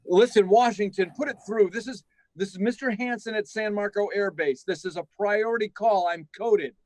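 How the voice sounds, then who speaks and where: A man's voice: sits high, moderate pace, darkish tone, natural voice, low-quality recording. A man playing an anxious, urgent security officer at an air base in a radio drama. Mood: urgent insistent. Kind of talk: live radio drama acting.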